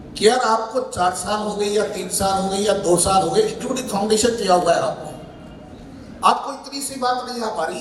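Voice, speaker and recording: A hoarse male teacher, giving a heartfelt, reflective address at a public event. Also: high voice, a bit bright. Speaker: teacher at coaching institute. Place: large hall. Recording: distant voice in echoey hall with faint noise.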